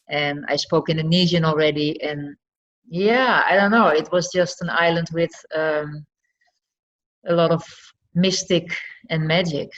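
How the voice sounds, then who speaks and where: A middle-aged woman from the north of the Netherlands, a native Frisian speaker with a Dutch accent, telling her story reflectively and haltingly as an interview guest. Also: deep voice, a bit bright. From Friesland, northern Netherlands. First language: West Frisian. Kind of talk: conversational personal storytelling in an interview. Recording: slightly dull, lo-fi podcast audio.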